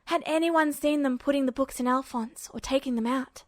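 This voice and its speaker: A woman's voice: high voice, normal speed, no echo. A woman reading scripted fiction aloud as a narrator. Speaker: audiobook-style narrator of fan fiction.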